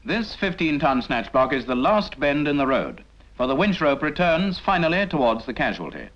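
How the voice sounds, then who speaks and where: Lively 1950s British training-film narrator, male. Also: on the high side, moderate pace. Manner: didactic, addresses the trainee with rhetorical questions. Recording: dull 1950s film soundtrack.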